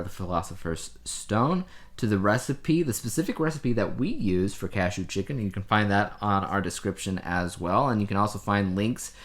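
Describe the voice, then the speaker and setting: A middle-aged man co-hosting a podcast in casual conversation. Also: fairly low pitch. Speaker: podcast co-host. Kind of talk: casual chat.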